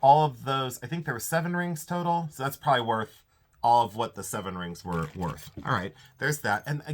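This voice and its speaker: Man, stuffed-up nasal congestion, rambling casual chat, widely swinging pitch. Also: sharp tone. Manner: chatty, rambling, self-interrupting, frequent laughs. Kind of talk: casual haul video.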